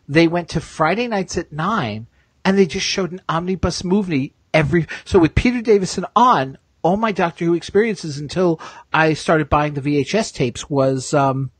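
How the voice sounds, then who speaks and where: A man's voice: on the high side, husky, no echo. A man hosting a podcast, chatting casually as a fan in a talkative, emphatic way. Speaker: podcast host.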